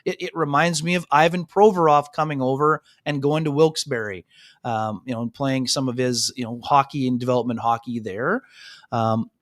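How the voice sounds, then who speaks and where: A man's voice: medium pitch, fairly even intonation, moderate pace, no echo, studio quality. Chatty male hockey radio co-host. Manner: chatty, many "you know" fillers and restarts; addresses co-hosts as "fellas". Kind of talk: casual sports talk radio chat. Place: radio studio.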